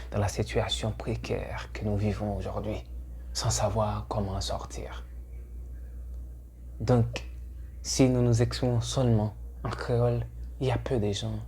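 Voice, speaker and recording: A man's voice: lowish voice, warm tone, slight background noise. A Haitian man whose first language is Haitian Creole, hosting his channel with an earnest, urging monologue full of hesitations. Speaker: channel host. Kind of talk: scripted monologue to camera.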